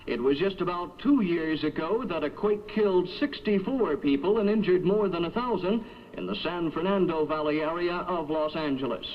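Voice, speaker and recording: A man anchors a 1970s radio newscast in a high-pitched voice. He reads from a script. The sound is the dull, narrow tone of an old AM aircheck. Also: normal speed.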